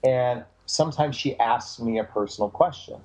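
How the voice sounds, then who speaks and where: A man with a big booming voice, a dating coach giving emphatic, animated advice on a call. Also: normal speed, poor audio. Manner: animated, emphatic, repeats phrases. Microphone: call audio.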